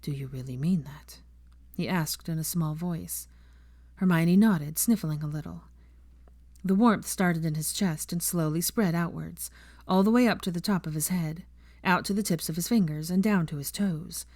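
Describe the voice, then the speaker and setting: A woman reading fiction aloud as an audiobook narrator, in an expressive scripted style. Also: lowish voice, normal speed, no room sound, studio quality.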